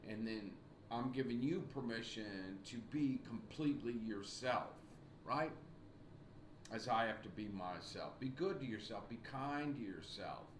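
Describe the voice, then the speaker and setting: Older man, writing teacher, slow deliberate lecturing, frequent throat clearing, webcam recording, echoey room, faint hiss. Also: medium pitch, animated. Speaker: college writing instructor. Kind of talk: recorded video lecture to a class. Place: small home room indoors. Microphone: webcam at desk.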